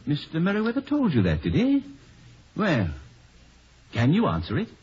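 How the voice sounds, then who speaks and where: Pompous, wildly swooping scholar. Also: male voice, on the high side, wildly swooping pitch, normal speed, darkish tone, slightly rough, heavily acted. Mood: smug. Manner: pompous, emphatic, theatrical. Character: self-important, excitable male Shakespeare scholar. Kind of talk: 1950s radio comedy-drama acting. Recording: noisy, dull 1950 radio recording.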